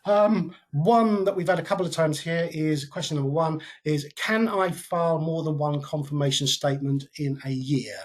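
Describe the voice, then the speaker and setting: A British man presenting an informational webinar, with a slightly higher voice than most men. He talks in a loose, conversational way, leaving frequent ums and uhs between points. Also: moderate pace, hardly any pauses.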